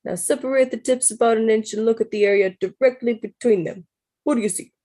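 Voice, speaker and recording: A woman's voice: fairly high pitch, fast, mellow, voice pushed up, close to an everyday voice. A woman putting on a Brooklyn accent to voice a fit, friendly man in his mid-forties, explaining things in a chatty way while reading a novel aloud to friends. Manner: chatty and explaining, with playful laughs breaking through.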